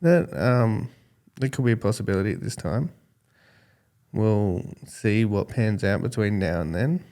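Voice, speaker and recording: An Australian man in his forties with a calm, smooth voice, chatting casually as an interview guest and thinking aloud in a halting way. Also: on the low side. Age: 40s. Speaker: podcast guest, skateboarding judge.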